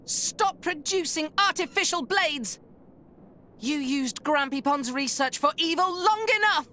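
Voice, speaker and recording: A woman voicing a small, cute, excitable furry sidekick in a game cutscene, talking emphatically in broken grammar. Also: sits high, a little slow, a little hiss or hum, exaggerated voice. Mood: righteous, defiant. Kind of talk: video game cutscene acting. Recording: music behind the voice.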